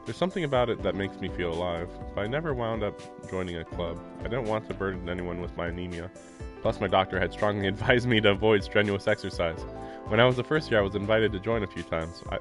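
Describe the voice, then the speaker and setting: Chatty man with a lowish voice. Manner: chatty, laughs often, filler-heavy. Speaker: streamer. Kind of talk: casual reaction and reading a game aloud.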